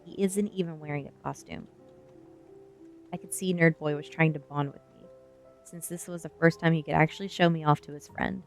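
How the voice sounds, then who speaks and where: A woman with a low-pitched voice narrates a first-person story as an ASMR reading. Quiet music plays behind her. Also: fairly even intonation, brisk. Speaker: streamer reading a novel aloud.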